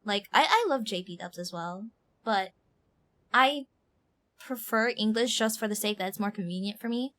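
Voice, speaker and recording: A woman streaming a game, giving casual live reaction commentary in an animated, exaggerating manner. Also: medium pitch, moderate pace. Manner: animated, mimics lines with exaggeration.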